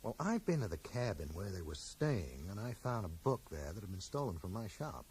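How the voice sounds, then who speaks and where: An actor playing a dogged, dry-witted bookseller who questions people like a detective, direct and wry, in a radio drama. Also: male voice, sits low, sing-song, moderate pace, darkish tone. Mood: probing, insistent. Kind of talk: 1980s radio drama acting. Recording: noisy 1980s radio broadcast.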